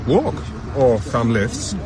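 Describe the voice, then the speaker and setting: A man's voice: mid-range voice, wildly swooping pitch, slow, a crowd in the background. An actor playing a hunted ex-army man in a radio drama, terse and guarded, with street and vehicle noise around him. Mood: calculating. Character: hunted male ex-army fugitive, guarded, resourceful.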